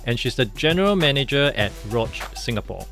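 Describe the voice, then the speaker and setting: A man's voice: mid-range voice, sing-song, brisk, a bit bright, soft background music. Upbeat male podcast co-host. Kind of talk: casual podcast chat.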